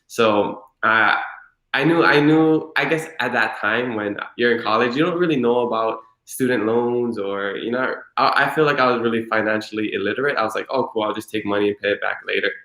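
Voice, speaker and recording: Man in his twenties, from Hilo, deep-ish voice, laid-back rambling talk, reverberant room. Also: a little fast. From Hilo, Hawaii. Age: 20s. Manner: relaxed, rambling with frequent "you know" and "like". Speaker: interview guest. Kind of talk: casual conversational storytelling.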